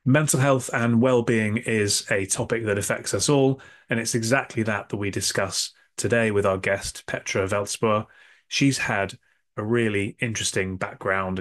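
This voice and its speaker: A man's voice: fairly low pitch, fairly bright tone. A man in his forties hosting a video call panel, upbeat and full of "uh" and "um" fillers. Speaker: podcast host.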